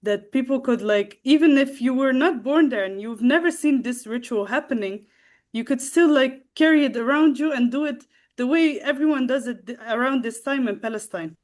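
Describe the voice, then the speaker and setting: A young woman from the Netherlands, a guest telling a personal story in a halting way, with many fillers and restarts. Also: high-pitched. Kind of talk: casual storytelling.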